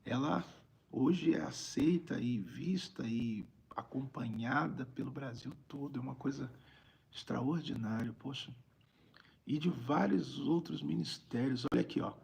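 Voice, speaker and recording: A Brazilian man with a raspy, gravelly voice, a preacher talking conversationally on a live stream and repeating phrases for emphasis. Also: medium pitch, measured pace, a little mellow. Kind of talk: informal livestream preaching, reading viewer greetings.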